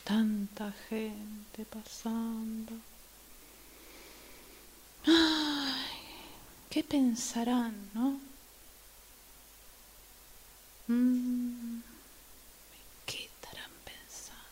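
A woman's voice: a little high, fairly even intonation, very slow, long pauses, a little hiss or hum. A woman acting in amateur radio theatre as an exasperated, restless commuter waiting for a train. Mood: musing, sighing.

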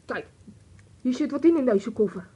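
A woman playing a whiny, excitable plane searcher in an amateur radio play. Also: on the high side, moderate pace, husky. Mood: excited, urgent. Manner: whiny, exclaiming.

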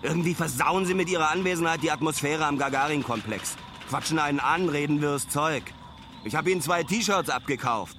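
A man's voice: fairly high pitch, brisk, bright, forceful tone. Wry male traveller, noisy surroundings. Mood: wry, uneasy. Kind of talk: radio play monologue, diary-like.

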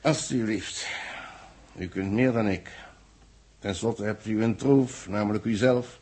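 An earnest, formal official in a 1960s radio play. The voice is fairly low and very rough and husky. He speaks gravely, as one confiding something serious. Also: a little slow, darkish tone. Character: earnest, worried male official confiding in a team. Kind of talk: 1960s radio drama acting.

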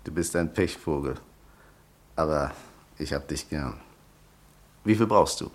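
An actor playing a gruff, wealthy friend who is blunt but generous, speaking briskly. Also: male voice, a bass voice.

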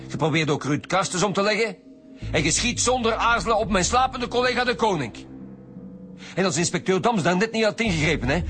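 A man's voice: high voice, a bit bright. A man with a Flemish accent playing a stern, scornful homicide detective in a radio drama, blunt and sarcastic.

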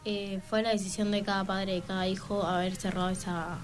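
A woman's voice: medium pitch, little pitch movement, a little fast. A teenage female student with a Buenos Aires Argentine accent, giving casual answers as a radio guest.

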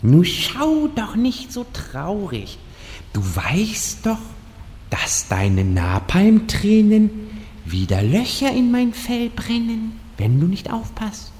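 A woman's voice: medium pitch, huge pitch swings, takes it slowly, mellow. A woman playing a cuddly bear companion in a comedic fantasy audio drama, cutesy, chummy and coaxing. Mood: cheerful, comforting. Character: cuddly, consoling fireproof teddy bear companion. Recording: long hall reverb.

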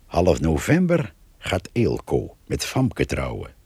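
Deep, husky village storyteller. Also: male voice, huge pitch swings, slow, a little mellow, no echo, full character voice. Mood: wry, gossipy. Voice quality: husky, gravelly. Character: gossipy male village storyteller, wry and wistful. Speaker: narrator. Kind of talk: radio play narration.

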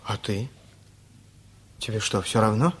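Melodramatic heartbroken teen boy, husky voice, earnest halting confession, radio drama. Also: on the low side, a bit bright. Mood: hurt, subdued. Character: lovesick, wounded, melodramatic teenage boy. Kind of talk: radio play acting.

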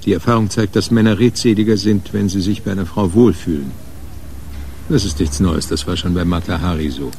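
A man's voice: sits low, fairly quick, dark tone, slight background noise. A man narrating a radio crime drama in a scripted, literary style.

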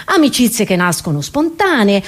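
A middle-aged woman with an Italian accent performing a scripted comic monologue in a theatrical, expressive, sardonic manner. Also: fairly high pitch, moderate pace. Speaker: comedian.

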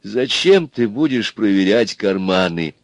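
A man's voice: mid-range voice, big pitch swings, unhurried, no echo, voice pushed up. A man voicing a domineering, blustering middle-sized bully of an interrogator in a story, barking and lecturing insistently. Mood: exasperated.

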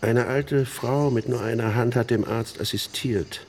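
An actor playing an embittered middle-aged man telling a haunted story in halting, emphatic phrases in a radio play. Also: medium pitch, a little mellow, slight background noise, natural voice. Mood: agitated, rueful. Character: embittered older man haunted by his missionary sister's death. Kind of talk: dramatic monologue in a German radio play.

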